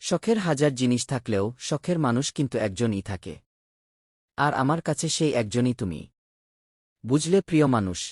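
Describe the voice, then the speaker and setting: A man's voice: mid-range voice, very animated, moderate pace, pauses often, a little mellow, husky, dry recording, studio quality. A man voicing an earnest young village boy in a Bangla cartoon, narrating and pouring out romantic declarations. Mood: tender. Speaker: narrator and lead character.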